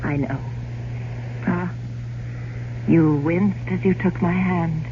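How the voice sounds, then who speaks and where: Creepy dying gothic sister, really slow morbid delivery, muffled noisy old radio play. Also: female voice, on the low side, steady tone. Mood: morbid, knowing. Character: ghastly, dying sister, corpse-like gothic woman, bitterly knowing. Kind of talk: 1970s gothic radio drama acting.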